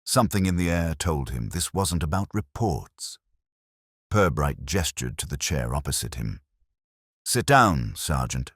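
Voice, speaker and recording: A man narrating a scripted detective mystery in a grave, deliberate, measured literary style that builds suspense. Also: rumbling low, animated, no echo, studio quality.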